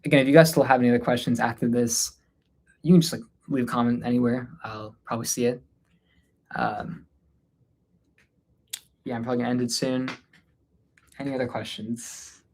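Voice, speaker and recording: A man from North India hosts an unscripted livestream, fielding questions in a casual, mid-pitched voice. He sounds tired, speaking informally and hesitantly, and his sentences often trail off. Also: steady tone, brisk, long pauses, darkish tone, rough recording. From North India, Delhi area. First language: Hindi, mixed with English. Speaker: livestream host answering viewer questions.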